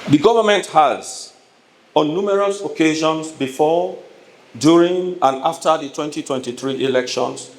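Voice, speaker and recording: A man with a Sierra Leonean accent delivers a formal prepared political speech as a politician, speaking emphatically and deliberately in measured phrases. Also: on the high side. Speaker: politician addressing a town hall. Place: echoey hall at a town hall event. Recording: echoey room with noticeable background noise.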